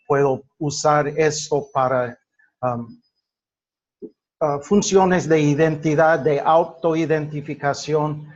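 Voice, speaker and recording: Man, native English speaker, classroom lecture, very slow, halting, dull lo-fi recording. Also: mid-range voice. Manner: halting, many fillers and restarts. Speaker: teacher.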